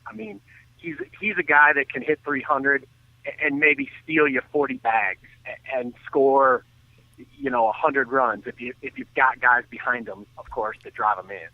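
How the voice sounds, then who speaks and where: Man, phone-like audio, no room echo, earnest sports commentary, detailed, halting, frequent restarts. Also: medium pitch. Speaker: baseball analyst and guest on a sports radio show. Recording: thin phone-like line.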